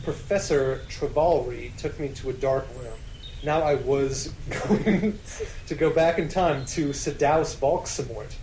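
A man's voice: a little high, measured pace, darkish tone, a little hiss or hum, low-quality recording. A man co-hosting a podcast, reading fan fiction dramatically and bantering casually, often amused as he goes. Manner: often breaking into laughter while reading. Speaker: podcast co-host.

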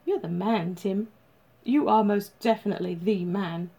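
A woman voicing an excitable, teasing male police detective with a brash, matey manner, in an audiobook story. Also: medium pitch, moderate pace, slightly rough. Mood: admiring.

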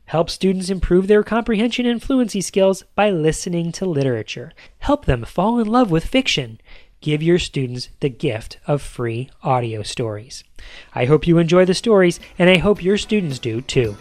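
A man's voice: on the high side, expressive, moderate pace, a little mellow, no echo. A man in his thirties hosting a podcast, reading scripted announcements in an upbeat, promotional way. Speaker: podcast host.

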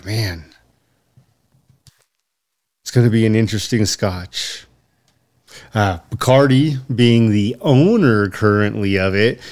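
A man co-hosting a casual podcast, his voice sitting a little low. He speaks slowly and deliberately, dropping in dry jokes along the way. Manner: measured, with dry joking asides. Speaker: podcast co-host.

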